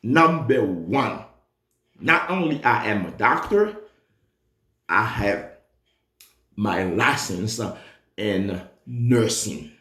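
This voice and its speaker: A man's voice: on the low side, drawn-out words, pauses often, reverberant. A man, a Creole speaker, giving an emphatic nursing exam review lesson. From Haiti. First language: Haitian Creole. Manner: animated, emphatic, repeats key points.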